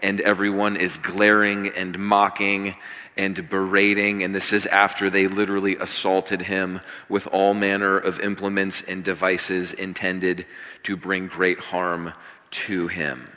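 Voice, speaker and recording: A middle-aged American man with a slightly scratchy voice, preaching to a congregation in an informal, conversational but emphatic way, with deliberate pauses. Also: low-pitched, completely flat delivery, pushed and bright. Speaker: pastor. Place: large room, speaking to a congregation. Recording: reverberant hall, slightly dull sound.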